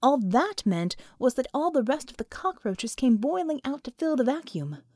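A woman reading scripted fiction as an audiobook narrator, with expressive, dramatic storytelling. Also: high voice, moderate pace, dry recording, clean studio sound.

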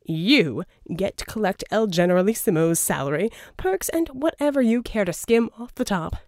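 A flamboyant male audiobook villain, acted by a woman, smug and slightly unhinged. The delivery is loud and theatrical, leaping around in pitch. Every phrase is pompous and coaxing, in a dry, close recording. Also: expressive, normal speed, dry recording, natural voice. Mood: impatient, scheming. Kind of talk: audiobook character dialogue.

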